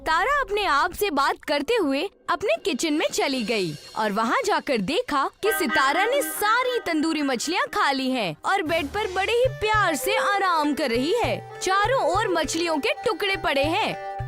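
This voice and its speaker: High-voiced, animated woman storyteller. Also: measured pace, music in the background. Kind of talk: scripted fairy-tale narration.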